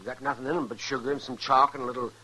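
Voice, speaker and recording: A man's voice: medium pitch, steady tone, rapid-fire, pushed and bright, lightly acted. An actor playing a wry, folksy old American town doctor in an old radio western, chuckling and drawing out his exclamations. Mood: amused, sly. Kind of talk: 1950s radio western acting. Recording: noisy old-time radio recording.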